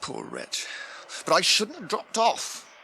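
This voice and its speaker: A man's voice: sits high, huge pitch swings, slow, creaky, pushed and bright, heavily acted. A man playing a loyal, suspicious, plain-spoken hobbit servant in a radio drama, homely, blunt and grumbling. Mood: uneasy, glum. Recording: faint noise in 1980s radio drama.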